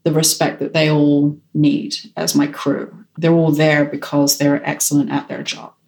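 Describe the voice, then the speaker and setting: A woman's voice: low-pitched, level tone, measured pace, creaky, a little mellow. A woman director and photographer answering interview questions in a frank, decisive way, with a strong voice. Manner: decisive, frank, self-assured.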